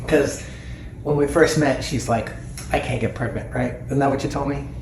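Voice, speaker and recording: A man's voice: medium pitch, fairly even intonation, normal speed, a little mellow. Male podcast host, 40s, playful and teasing, asks lots of questions; echoey room tone, slight hiss. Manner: jokey, teasing, asks his co-hosts questions. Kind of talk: casual podcast chat. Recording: echoey room, faint noise.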